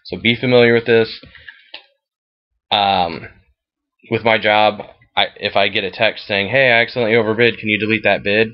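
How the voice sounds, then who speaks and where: A man with an American accent and a fairly low voice talks through something on his computer screen. He explains things informally and matter-of-factly. The recording is a bit dull and lo-fi. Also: steady tone, normal speed, pauses often. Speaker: fantasy football league commissioner. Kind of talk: casual screen-share walkthrough.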